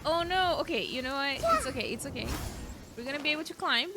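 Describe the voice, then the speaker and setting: A woman's voice: sits high, lively intonation, quick pace, noisy background. A woman giving casual let's-play commentary, exclaiming and talking to herself as she reacts. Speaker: streamer playing and reacting to a game. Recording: game music behind the voice.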